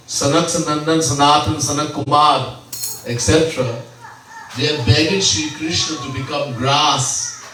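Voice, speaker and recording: A man, a swami, giving a slow devotional sermon at a home gathering, repeating key phrases and mixing in Hindi terms. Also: medium pitch, a bit bright, a little hiss or hum. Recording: very echoey room, mic far off.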